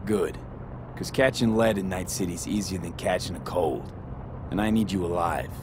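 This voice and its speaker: A man's voice: low voice, moderate pace, noisy background, close to an everyday voice. A man playing a cocky, streetwise young mercenary in a video game, with a clipped, blunt, wry delivery. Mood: concerned, wry. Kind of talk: dramatic game dialogue.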